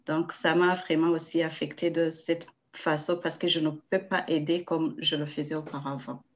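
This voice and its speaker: A woman with a fairly low voice shares a personal story, speaking plainly and earnestly and sometimes restarting her sentences. The audio is dull and lo-fi, as if coming through a remote connection. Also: little pitch movement, moderate pace. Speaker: forum participant. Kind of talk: personal testimony.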